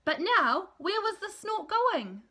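Bright-voiced woman reading a children's story. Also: extremely high pitch, a little hiss or hum. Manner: expressive, deliberate, emphatic with repetition. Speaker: teacher reading aloud. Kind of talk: children's storybook read-aloud. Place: small room at home, indoors.

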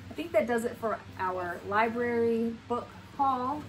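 A woman's voice: fairly high pitch, animated, measured pace, slight background noise, rough recording. A woman in her thirties chatting informally and explaining things to camera as the host of a family homeschooling vlog, recorded indoors at home. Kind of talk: casual family vlog chat, showing items to camera. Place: home room with bookshelf, indoors. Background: music.

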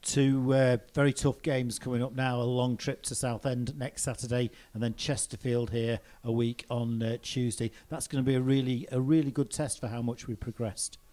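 A man's voice: mid-range voice, little pitch movement, normal speed, few pauses, warm tone. A man working as a club TV interviewer, recapping the game and asking a player questions, with hesitant fillers. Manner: summarising play, hesitant fillers. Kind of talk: post-match interview questions.

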